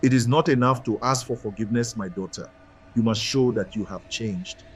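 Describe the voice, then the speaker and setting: A man voicing a calm, wise, stern old man in a folktale, admonishing and measured. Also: mid-range voice, lightly acted.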